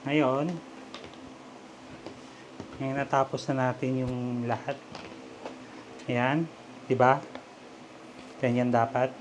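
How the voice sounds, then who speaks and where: A man's voice: medium pitch, level tone, drawn-out words, long pauses, poor audio. A Filipino man giving a casual step-by-step DIY demonstration, talking informally as he works with his hands. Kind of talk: casual step-by-step tutorial talk. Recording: clear background noise, ambient sound.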